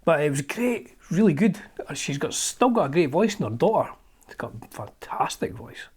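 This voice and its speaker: A middle-aged Scottish man chats casually as a show's host, wandering from thought to thought with plenty of ums and false starts. His voice sits a little higher than most men's. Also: very animated, moderate pace, a little mellow, husky. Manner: rambling and chatty, with frequent ums and restarts. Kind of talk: casual chat, leafing through an old magazine.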